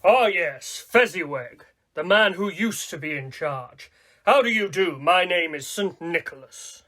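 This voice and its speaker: Man acting gentlemanly villain Santa, radio play, high-pitched, bright hard edge, polite, threatening. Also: animated, measured pace, heavily acted. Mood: genteel menace. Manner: courteous, formal, threatening.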